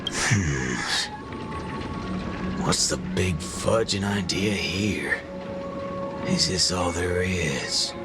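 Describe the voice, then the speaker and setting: An actor playing a gruff, wry cowboy gunslinger in a video game, with a folksy drawl and minced swear words. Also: male voice, low voice, very slow, long pauses. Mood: scornful. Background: game music.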